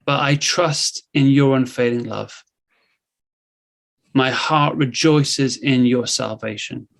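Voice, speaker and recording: A man giving a solemn, gentle and measured reading of a Bible psalm. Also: mid-range voice, steady tone, creaky. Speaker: scripture reader.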